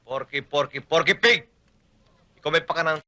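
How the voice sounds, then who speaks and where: An actor playing a proud homeowner who scolds and boasts. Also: male voice, a little high, moderate pace, bright, forceful tone, a little hiss or hum. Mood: scolding. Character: blustering, proud homeowner man.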